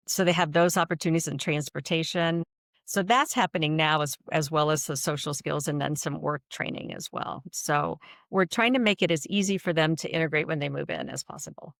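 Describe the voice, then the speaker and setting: Middle-aged American woman, nonprofit director as podcast guest, earnest and explanatory, gravelly fry, dry close sound. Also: deep voice, a bit bright, no room sound. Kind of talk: conversational interview answers.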